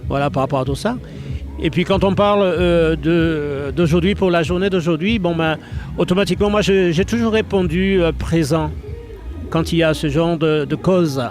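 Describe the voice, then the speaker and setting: Guy from france talking on tv, bit high-pitched, lots of ums and restarts, music faintly behind. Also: moderate pace, a little mellow. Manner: hesitant, many fillers and restarts. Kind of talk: casual televised chat.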